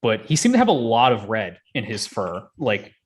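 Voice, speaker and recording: A man's voice: on the low side, very animated, moderate pace, breathy edge. A man co-hosting a casual chat, joking and poking fun at himself as he goes. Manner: self-deprecating, joking. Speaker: podcast co-host. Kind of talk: casual banter.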